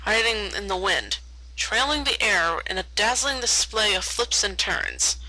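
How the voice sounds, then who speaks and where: Very bright-voiced woman reading a story. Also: mid-range voice, animated, a little slow, no room sound, low-quality recording. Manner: stumbles over words and repeats them. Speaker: fan fiction reader. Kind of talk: read-aloud story narration.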